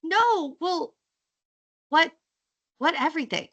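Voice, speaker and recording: Young schoolgirl, teasing and exact, bright tone, children's storybook dialogue voiced by a woman reader. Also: extremely high pitch, expressive, slow, muffled recording, pitched above the performer's own voice, full character voice. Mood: puzzled, evasive. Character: teasing, precise young schoolgirl, little sister. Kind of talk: children's storybook character reading.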